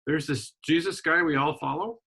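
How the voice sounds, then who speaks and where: An older man from Wisconsin, a retired minister and journalist, telling his life story in a relaxed interview with frequent ums and restarts. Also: a little high, moderate pace, vocal fry, husky. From Wisconsin, USA. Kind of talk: casual conversational interview.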